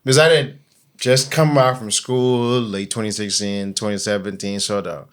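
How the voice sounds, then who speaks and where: A man's voice: fairly low pitch, fairly even intonation, moderate pace. A man with a Bahamian accent hosting a casual podcast interview, informal and thinking aloud as he talks.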